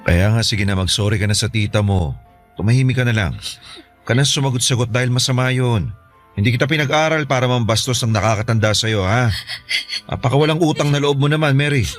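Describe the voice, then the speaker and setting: A man's voice: medium pitch, brisk, faint music behind, lightly acted. An actor playing a stern, exasperated middle-aged father in a Filipino radio drama, scolding and reproachful.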